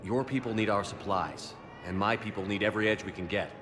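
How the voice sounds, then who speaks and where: A man's voice: fairly low pitch, a little fast, music in the background, natural voice. An actor playing a stern male military commander with a dry, clipped, authoritative manner in a game cutscene. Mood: firm. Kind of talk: video game cutscene acting.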